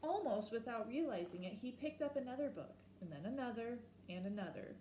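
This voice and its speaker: A woman reading a children's picture book aloud expressively, slowing down for emphasis and pausing to ask her listeners questions. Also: a little high, lively intonation, dark tone, echoey room, a little hiss or hum. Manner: asks listeners reflective questions. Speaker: storybook reader.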